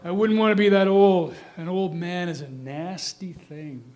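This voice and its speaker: A man's voice: sits high, steady tone, unhurried, darkish tone. A man playing an impatient, confident young waiter in a staged reader's theatre reading. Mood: irritable.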